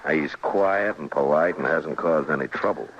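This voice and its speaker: An actor playing a gruff, weary, wary frontier marshal in a 1950s radio western. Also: male voice, extremely low pitch, moderate pace. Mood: brooding, wary. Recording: dull, noisy old radio recording.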